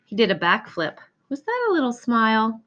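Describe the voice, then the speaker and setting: A woman reading a children's picture book aloud in an expressive storytelling manner. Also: a little high, normal speed, dull audio. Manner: expressive, acts out exclamations and dialogue. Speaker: storybook reader.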